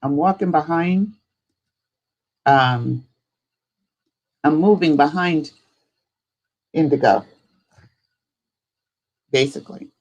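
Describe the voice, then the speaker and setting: Female livestream host, slow pace, casual and rambling delivery, informal chat with viewers. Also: low-pitched, a little mellow, low-quality recording. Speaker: host of a live spirituality stream.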